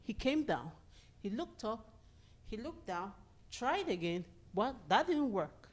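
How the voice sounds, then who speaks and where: A woman telling a folktale live, in short phrases with dramatic pauses. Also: on the high side, sing-song. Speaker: storyteller. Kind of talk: live oral folktale storytelling.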